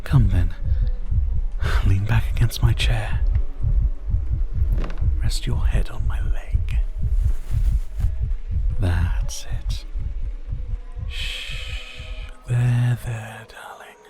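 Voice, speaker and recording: A man playing a sadistic, seductive vampire captor in an intimate ASMR roleplay, breathy and often whispering, commanding yet mock-tender. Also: fairly low pitch, very slow, pauses often, heavy background noise. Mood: possessive, soothing.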